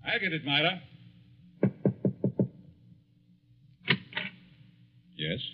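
An actor with a Hungarian accent playing a scheming psychology professor feigning innocence, in deliberate, theatrical 1940s radio style. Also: male voice, a little high, huge pitch swings, breathy edge, soft background music, exaggerated voice. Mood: polite, wary. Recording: muffled, narrow-band 1940s radio recording.

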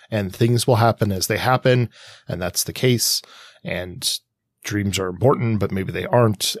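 A man with a fairly low voice co-hosts a casual analytical podcast. He thinks out loud, qualifying his points as he builds them. The recording is dry and free of room sound. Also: no echo. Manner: reflective, hedging, thinking aloud. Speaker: podcast co-host. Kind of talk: casual analytical book discussion.

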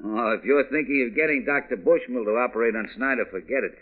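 A man's voice: medium pitch, fast, lightly acted. An actor playing an anxious, protesting crooked male doctor, precise and pleading, in 1940s radio crime drama style. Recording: tinny old radio recording.

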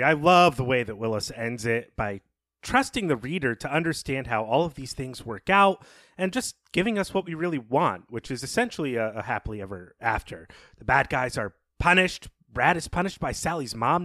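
A man with a medium-pitched voice co-hosts a casual literary discussion. He sounds analytical and phrases his thoughts with care, in a very dry recording with no room sound. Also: lively intonation, normal speed, fairly bright tone, dry recording. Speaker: podcast co-host.

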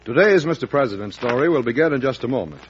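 A man's voice: medium pitch, lively intonation, fast, soft background music. A man announcing a vintage radio show from a script in a formal, declamatory manner. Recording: muffled, faintly noisy old radio recording.

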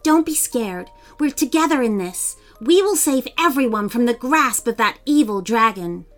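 A woman's voice: a little high, lively intonation, normal speed, fairly bright tone, soft background music. A woman voicing a brave, kind, earnest young twin girl in a children's storybook. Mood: determined.

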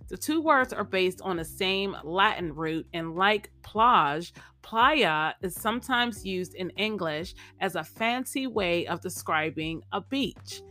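A woman's voice: medium pitch, fairly bright tone. A woman hosting a podcast, getting over a cold so her voice is a little off, talking in an upbeat, chatty way and repeating her phrases. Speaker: podcast host. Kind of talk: casual motivational chat and vocabulary lesson.

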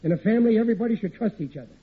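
A man's voice: sits high, expressive, rapid-fire, husky, full character voice. An actor playing a sheepish, blustering, middle-aged American working-class husband and father in an old radio sitcom, with hesitant, ungrammatical speech. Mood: contrite. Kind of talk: 1950s radio sitcom acting. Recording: tinny old radio broadcast recording.

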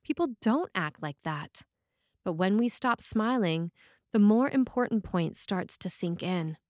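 A woman reads a prepared sermon in the role of a priest. Her voice sits at a middle pitch, and she phrases deliberately, pausing between thoughts. The sound is dry, with very little room around it. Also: animated, a little mellow, no room sound. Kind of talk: scripted sermon, read aloud.

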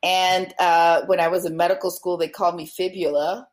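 A middle-aged woman doctor, whose first language is French, telling her story in a chatty, animated way with many fillers and restarts. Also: lowish voice, moderate pace. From born in Brooklyn, raised in Montreal. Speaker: guest obstetrician. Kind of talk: casual interview chat.

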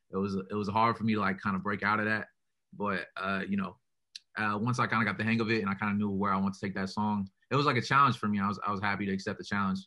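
A young man with a deep, bright-edged voice answers interview questions about his music. He talks quickly and casually, with little rise and fall, and fills every sentence with "like" and "you know". He sounds relaxed and laid back. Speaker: rapper being interviewed.

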